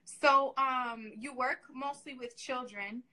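A woman's voice: sits high, slow, sharp tone, low-quality recording. A woman hosting a casual livestream interview. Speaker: host.

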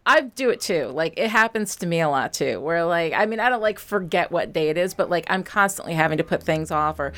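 A woman's voice: on the low side, animated, brisk, few pauses, fairly bright tone. A woman who podcasts and coaches writers, chatting casually and candidly, with plenty of informal asides. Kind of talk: casual chat.

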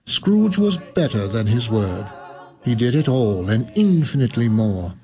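A man narrating a radio play in a measured storytelling way. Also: medium pitch, sing-song, dark tone. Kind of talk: scripted narration. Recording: radio drama with faint music.

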